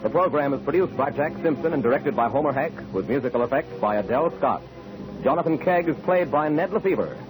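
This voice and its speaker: High-pitched 1940s American radio announcer man. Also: expressive, fairly quick, low-quality recording. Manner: polished, salesman-like. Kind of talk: 1940s scripted announcing and sponsor reads. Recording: old 1940s radio broadcast. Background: music.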